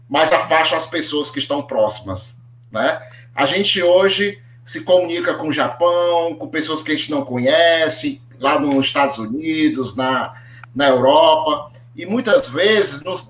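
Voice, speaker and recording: Male Brazilian panel host, fairly high voice, conversational and hesitant, heard through an echoey video call. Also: a bit bright. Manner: hesitant, repeats words while framing questions. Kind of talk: conversational moderating on a live online panel. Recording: echoey room over online call.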